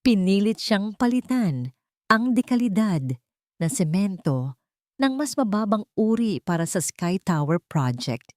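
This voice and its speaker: A woman's voice: medium pitch, big pitch swings, a little slow, a little mellow, no echo, studio quality. A woman reading a scripted dramatic story as its narrator.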